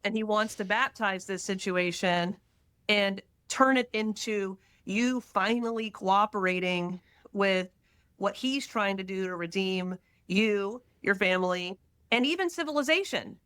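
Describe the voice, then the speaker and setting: A pregnant woman from Texas, a podcast guest who talks in quick, animated bursts and tells stories with dramatic asides. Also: mid-range voice, smooth, dry recording. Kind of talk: casual faith-centered conversation.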